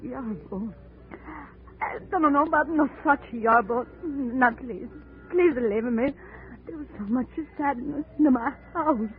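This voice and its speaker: A woman's voice: sits high, takes it slowly, music in the background. A weeping, grieving mother. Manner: tearful, pleading, broken grammar. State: crying. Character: grieving older mother, heartbroken and tearful. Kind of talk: 1940s radio acting. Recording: tinny old 1940s radio recording.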